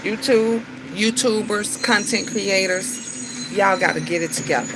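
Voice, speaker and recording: A woman, hosting a casual livestream and venting in a fired-up, repetitive way with drawn-out filler sounds. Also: medium pitch, noisy background. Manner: emphatic, repeats lines, drawn-out filler sounds. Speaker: livestream host.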